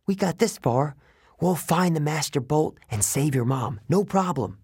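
Jittery young male sidekick, done by a narrator: storybook character, high, excitable pitch, eager and unsure. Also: slow pace, gravelly, no echo, studio quality, full character voice. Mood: forced cheer. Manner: gulping, hesitant, over-eager.

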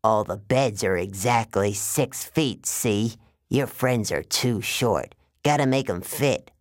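Performer doing a slow-drawling genial menacing giant salesman. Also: medium pitch, dry recording, studio quality, natural voice. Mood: cheerfully menacing. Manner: folksy, drawling sales patter. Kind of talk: audiobook character voice.

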